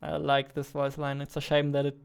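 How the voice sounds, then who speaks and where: Clear-voiced young man, even pitch. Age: 20s. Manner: mumbles to himself, halting, thinking aloud. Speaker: game developer and streamer. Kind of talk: casual livestream chat while working. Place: indoor room at a computer, keyboard clicks behind.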